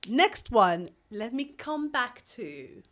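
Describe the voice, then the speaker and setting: Stammering woman, lively pitch. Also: slow. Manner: hesitant, stammering, friendly. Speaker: podcast host. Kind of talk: casual podcast interviewing.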